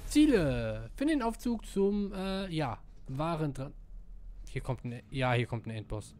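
A man giving casual live gameplay commentary, excitable and slangy, dropping in English gamer words. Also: a little high, unhurried. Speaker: let's player.

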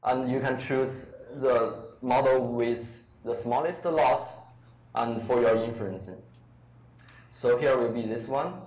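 A man presenting a live software demo at a meetup, speaking haltingly with many fillers. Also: medium pitch. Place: large lecture room with audience. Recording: echoey hall, faint room noise.

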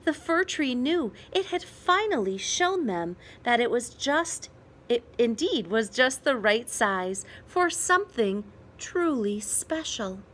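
A woman reads a children's story aloud, slowly and with wide melodic swings. Her voice sits a little high, and she leaves dramatic pauses for emphasis. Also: very animated, fairly bright tone. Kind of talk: children's picture book read-aloud.

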